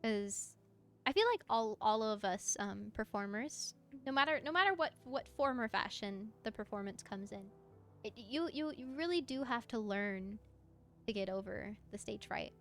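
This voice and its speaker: A woman chatting casually on a livestream as she plays, answering viewers in an expressive, encouraging, effusive way with a mezzo voice. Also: mid-range voice, normal speed. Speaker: VTuber live streamer. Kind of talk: casual livestream chat while gaming, answering viewer comments.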